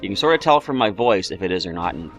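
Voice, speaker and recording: Chatty man with lively pitch. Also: fast talker, faint music behind. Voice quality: slightly raspy. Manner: chatty, rambling asides. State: throat-clearing, coughing. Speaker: let's play host. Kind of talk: casual game commentary.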